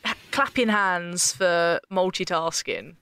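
A woman's voice: fairly low pitch, lively intonation, slow, fairly bright tone, clear, resonant tone, dry recording, clean studio sound. A woman leading a casual, informal and jokey chat as writer and actress. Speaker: writer, actress and discussion host. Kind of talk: casual behind-the-scenes chat. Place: bedroom, behind a duvet.

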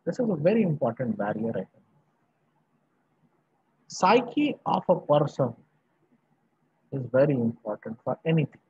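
Husky Indian professor, muffled audio. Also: male voice, medium pitch, very animated, no room sound. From Varanasi, northern India. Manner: emphatic, halting short phrases with repetition. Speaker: university professor. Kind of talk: lecture to online students. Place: small indoor room. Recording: muffled, dull video-call audio.

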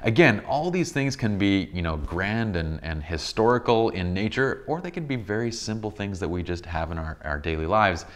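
Man, 30s, very low deep voice, photography instructor, enthusiastic, studio sofa set. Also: expressive, moderate pace, few pauses. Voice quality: deep voice, a bit tired from a long day. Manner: enthusiastic, explains with many examples and restarts. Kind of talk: casual studio tutorial talk.

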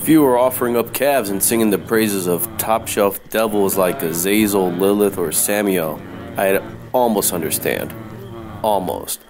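A man playing a wisecracking, sardonic detective, narrating in deadpan, slangy radio noir style. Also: on the low side, unhurried, music in the background, close to an everyday voice. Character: wisecracking hard-boiled male detective, sardonic and unfazed.

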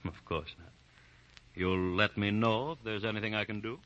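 An actor playing a grave, kindly doctor in a 1940s radio drama, speaking gently and with tactful hesitation. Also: male voice, lowish voice, moderate pace, natural voice. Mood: grave, concerned. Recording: dull vintage 1940 radio recording.

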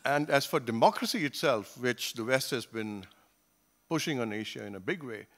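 A man's voice: mid-range voice, lively intonation, fairly bright tone. Older man speaking at a conference panel. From Singapore. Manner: measured, with frequent fillers and restarts. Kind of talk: academic panel talk. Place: conference hall, seated at a panel table. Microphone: table gooseneck mic.

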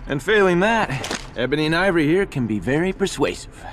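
An actor voicing a flippant, cocky demon hunter in a game cutscene. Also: male voice, a little high, big pitch swings, fairly bright tone, faint music behind. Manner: flippant, quick wisecracks. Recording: distant voice under game score.

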